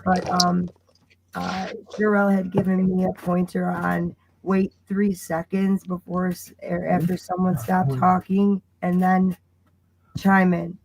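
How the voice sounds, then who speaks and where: A woman's voice: lowish voice, completely flat delivery, unhurried, gravelly, darkish tone, slight background noise, poor audio. A woman calling into a livestream who is losing her voice, polite, hesitant and eager. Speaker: caller joining a stream.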